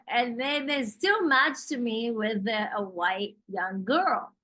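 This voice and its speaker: A woman co-hosting a casual podcast, her voice strained as if she is losing it. She talks slowly in halting phrases with non-native wording and laughs often. The sound is dry and close to the mic. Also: a little high, pushed and bright, no room sound, poor audio. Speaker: podcast co-host. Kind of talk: casual chat.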